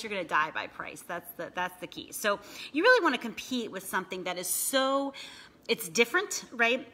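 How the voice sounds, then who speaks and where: A woman's voice: mid-range voice, pushed and bright. A woman in her thirties talking casually to a phone camera from her couch at home, chatty and full of "right?" and "you know". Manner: chatty, many fillers and "right?" tags. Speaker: small business coach. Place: living room at home, seated on a couch. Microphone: phone camera at arm's length.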